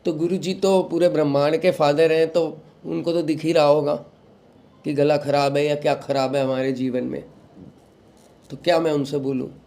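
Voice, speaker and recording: A young man, recovering from a sore throat, hosting a devotional talk and giving calm advice to followers while mixing in English phrases. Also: fairly high pitch, moderate pace, gravelly. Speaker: satsang host.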